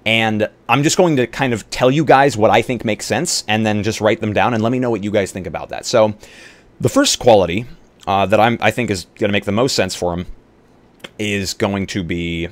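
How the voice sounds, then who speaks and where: A man chatting casually on a live roleplaying stream as he talks through building a game character. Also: on the low side.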